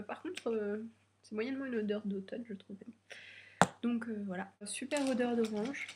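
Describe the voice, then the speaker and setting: A young woman with a slightly stuffy nose from a cold, chatting hesitantly with many fillers as she unboxes beauty products. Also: fairly high pitch. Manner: hesitant, many fillers, nervous first video. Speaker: beauty vlogger. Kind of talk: casual unboxing chat.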